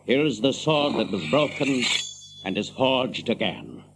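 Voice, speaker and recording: An actor playing a proud, commanding ranger and heir to a throne in a fantasy radio drama, with grave, declamatory delivery. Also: male voice, medium pitch, big pitch swings, measured pace, gravelly, noisy background. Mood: proud, defiant.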